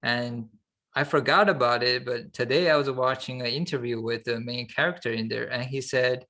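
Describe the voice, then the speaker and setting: Gravelly-voiced man chatting casually. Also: medium pitch, normal speed, sharp tone. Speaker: podcast co-host. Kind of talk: casual unscripted podcast chat.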